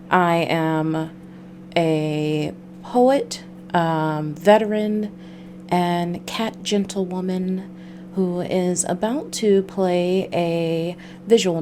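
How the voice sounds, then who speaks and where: A woman hosting a casual game livestream, talking in a halting way with drawn-out pauses. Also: fairly low pitch, a little mellow, slight background noise. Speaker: livestream host. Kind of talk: casual game stream, reading a visual novel aloud.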